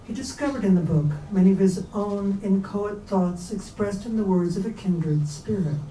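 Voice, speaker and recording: A woman reading literary prose aloud at a staged reading, in a measured, composed storytelling delivery. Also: mid-range voice, dark tone. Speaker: reader at a staged book reading. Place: bookstore event space. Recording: echoey room, distant mic, faint noise.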